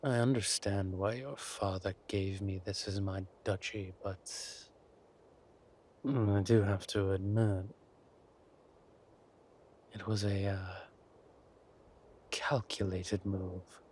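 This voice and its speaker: A man acts out a smug villain king in a romantic audio drama, menacing yet doting. His voice is on the low side and very unhurried, with theatrical, deliberate phrasing. Some ambient noise sits behind him. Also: fairly even intonation, a little mellow. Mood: smug, amused. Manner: slow, deliberate, theatrical, breathy asides. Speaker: voice actor in romantic audio roleplay. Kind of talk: intimate scripted villain roleplay monologue.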